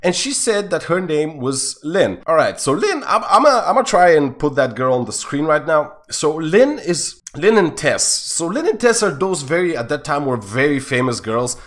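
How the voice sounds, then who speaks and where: A man's voice: normal speed, few pauses. Rambling young man telling a story. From Bulgaria. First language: Bulgarian. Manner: rambling, many fillers and restarts, lively swings in pitch and loudness. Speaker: storytime YouTuber. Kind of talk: casual storytelling.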